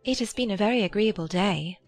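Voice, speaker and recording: A woman voicing a sweet, composed young lady in a dramatized period novel, gentle and polite. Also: medium pitch, brisk, faint music behind, close to an everyday voice. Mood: pleased, composed. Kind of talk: dramatized audiobook dialogue.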